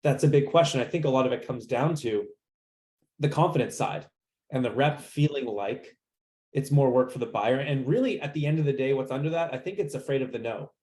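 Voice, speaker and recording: A man's voice: mid-range voice, a little fast, darkish tone. A man hosting a discussion in a conversational, informal way, thinking aloud and posing rhetorical questions. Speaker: panel moderator. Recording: roomy, echoey sound.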